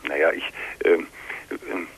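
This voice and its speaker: A man calling a phone-in, telling an anecdote casually and haltingly, often hedging and searching for words. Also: no echo, poor audio. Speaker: phone-in caller.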